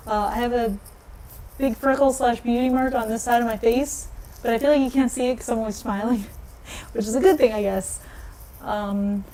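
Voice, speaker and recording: Chatty woman with a mellow voice. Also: rough recording. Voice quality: low voice. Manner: chatty, laughs often, reads and answers live comments. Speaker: nail polish vlogger. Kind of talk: casual livestream chat.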